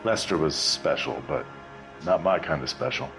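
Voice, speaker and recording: An actor playing a guarded, world-weary bartender who answers a detective in short, wry lines. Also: male voice, a bass voice, normal speed, over music.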